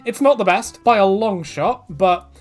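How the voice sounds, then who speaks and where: A man's voice: high voice, measured pace, soft background music. A man casually answering viewer questions in a rambling way, with many fillers and restarts.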